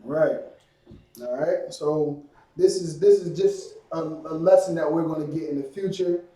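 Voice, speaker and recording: A man teaching a Hebrew language class, drilling words slowly and often checking "you understand?". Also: a little high, dark tone. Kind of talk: classroom lesson, call and response. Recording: echoey room, mic far off.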